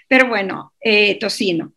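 A woman's voice: fairly high pitch, creaky, sharp tone, husky. A woman guest on a radio interview, explaining at length with many hesitations and restarts. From Europe.

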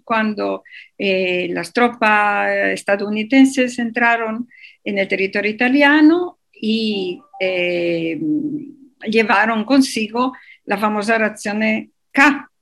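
A woman guest on a radio interview, explaining at length with many hesitations and restarts. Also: a little high. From Europe.

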